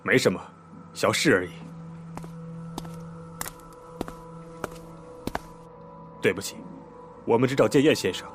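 An actor playing a police inspector in a radio drama, polite and measured but quietly pressing and official. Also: male voice, lowish voice, music in the background, lightly acted. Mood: polite, firm. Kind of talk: broadcast drama acting.

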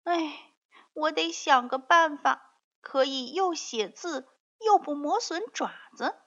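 A woman's voice: high voice, slow, a bit bright, muffled recording, voice pushed up, exaggerated voice. A woman voicing a curious, plucky lame cat in a fairy tale, exclaiming and wondering aloud. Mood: sighing, resolved.

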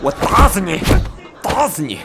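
A man playing a rough, bullying, territorial beggar thug in a Chinese audio drama, snarling threats. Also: high-pitched, huge pitch swings, a little slow, heavily acted. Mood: aggressive. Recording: noisy crowd clatter behind.

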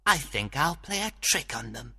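A man's voice: medium pitch, sing-song, normal speed, bright, forceful tone, husky, voice pushed up, exaggerated voice. A man voicing a mischievous, scornful woodland sprite in a storybook, sly and mocking.

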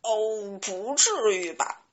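A woman voicing a greedy, cheeky young chick with a drawn-out, mumbling way of talking. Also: mid-range voice, big pitch swings, highs cut off, a little hiss or hum. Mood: disbelieving.

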